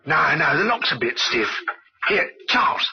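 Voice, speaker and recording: An actor playing a nervous, hesitant young would-be thief in an old-time radio crime drama. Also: male voice, a little high, big pitch swings, unhurried, creaky, full character voice. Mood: panicky. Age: young adult. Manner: hesitant, jittery, eager to please. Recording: dull, very noisy vintage radio recording.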